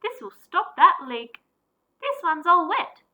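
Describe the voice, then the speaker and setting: A woman primary school teacher reading a picture book aloud to children, with expressive, deliberate storytelling and varied emphasis. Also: extremely high pitch.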